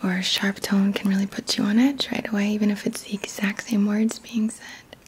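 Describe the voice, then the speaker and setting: A woman in her thirties whispers gently and soothingly in a halting, breathy voice, hosting an ASMR guided healing session up close to the mic. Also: medium pitch, flat delivery. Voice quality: breathy whisper, mostly unvoiced. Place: small quiet indoor room. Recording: faint background noise.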